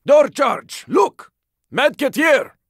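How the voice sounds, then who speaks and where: An actor playing a gruff, battle-worn survivor in a zombie video game, giving terse, intense callouts in a gravelly, raspy voice. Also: male voice, extremely high pitch, sing-song, slow pace, dry recording, studio quality, heavily acted. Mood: terse, focused. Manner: terse, intense callouts, often strained or shouted. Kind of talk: video game combat voice lines.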